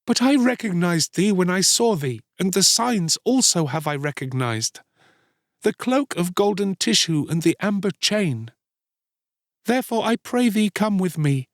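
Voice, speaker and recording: A male narrator plays a poor, loving beggar mother from a fairy tale. The voice is pushed high and moves expressively as it pleads in old-fashioned words. The sound is dry and close. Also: lively intonation, a little slow, no room sound. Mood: pleading. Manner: imploring, archaic storybook speech.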